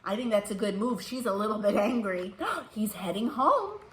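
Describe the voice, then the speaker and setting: A woman's voice: mid-range voice, lively intonation, normal speed. An American woman hosting a children's storytime, talking to small children in an encouraging way with plenty of questions. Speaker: children's librarian and storytime host. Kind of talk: children's storytime read-aloud and chat.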